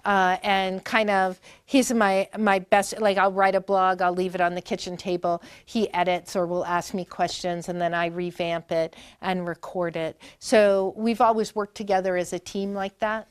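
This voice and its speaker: A woman's voice: mid-range voice, flat delivery, moderate pace, hardly any pauses, clear, resonant tone, dry recording, studio quality. A woman in her fifties from Maine, telling family stories in a chatty, rambling way with many fillers and restarts during an interview at a library talk. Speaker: performer and guest. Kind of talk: conversational interview storytelling.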